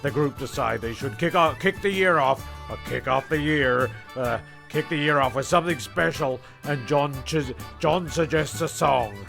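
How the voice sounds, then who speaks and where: A man acting as a self-important radio-play narrator, creaky-voiced over clear music. He rambles, restarts and grumbles testily through his storytelling. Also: a little high, moderate pace, few pauses, a bit bright. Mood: rambling storytelling.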